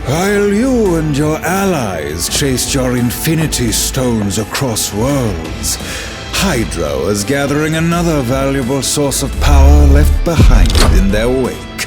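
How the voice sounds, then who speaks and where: An actor playing a smug, power-hungry usurper in a video game, gloating in a theatrical, measured way. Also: male voice, medium pitch, sing-song. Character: power-hungry male mastermind villain, smug, grandiose usurper. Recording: music behind the voice.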